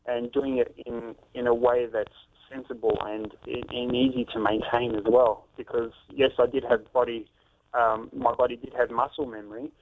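A man in his thirties speaks over a thin, tinny phone line with a gravelly, fry-laden voice. He answers earnestly, filling gaps with ums and restarting his sentences. His pitch stays in a middle range. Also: expressive, moderate pace. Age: 30s. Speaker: fitness author and trainer. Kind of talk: phone-in interview.

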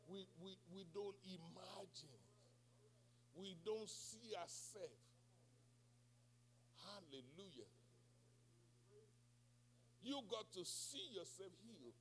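A male pastor preaching a sermon, speaking English as a second language, who swings between soft, quiet passages and shouted, call-and-response preaching. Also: sits high, animated, slow pace, pauses often, slight background noise. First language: not English.

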